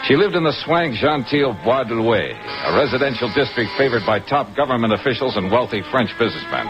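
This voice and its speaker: An actor playing a wry, hard-boiled American insurance investigator, dry and wisecracking, in a vintage detective radio drama. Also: male voice, medium pitch, lively intonation, fairly quick, loud music behind the voice, lightly acted. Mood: matter-of-fact narration. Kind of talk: 1950s detective radio drama narration and dialogue. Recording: muffled vintage radio recording.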